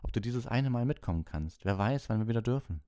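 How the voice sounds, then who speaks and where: A man's voice: mid-range voice, expressive, quick pace, mellow, no room sound, studio quality, pitched above the performer's own voice, lightly acted. A man voicing a blunt, insistent thirteen-year-old schoolboy with colloquial speech in an audiobook. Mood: urging. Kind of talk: audiobook character voice.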